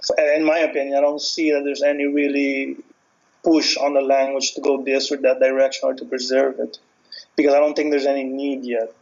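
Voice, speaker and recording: A man's voice: mid-range voice, level tone, fairly quick, creaky, a little mellow, dull audio. A man from Aruba who grew up multilingual, giving matter-of-fact interview answers.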